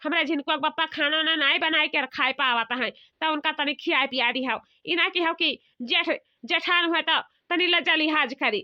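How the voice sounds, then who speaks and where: Cartoon village elder sister female performer: bossy, fretful, very high voice, Awadhi accent, muffled lo-fi sound. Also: moderate pace, few pauses, sharp tone. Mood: fretful, chatty. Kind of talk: comedy cartoon dialogue.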